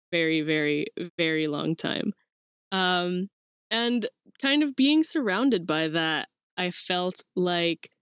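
A woman's voice: on the low side, clean tone, no room sound. Woman, 20s, from Mexico, podcast host, chatty, rambling, dry close sound. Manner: chatty, rambling with fillers and laughs. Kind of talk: casual solo podcast talk. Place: small cluttered room indoors.

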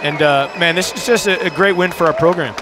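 A young man speaks as a basketball coach in a post-game interview. His voice sits a little high and his phrasing is informal and earnest. He is on a headset courtside, with a clear bed of crowd noise behind him. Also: fairly quick. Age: young adult. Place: courtside in an indoor arena. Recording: crowd noise in busy arena.

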